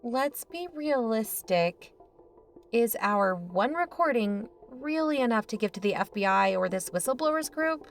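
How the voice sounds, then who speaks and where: An actress playing a cautious, level-headed podcast investigator in an audio drama. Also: female voice, on the high side, animated, measured pace, faint music behind.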